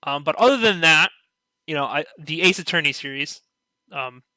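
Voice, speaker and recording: Bright-voiced man in a dry, close recording. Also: on the high side, expressive, normal speed, dry recording, rough recording. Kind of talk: casual podcast discussion.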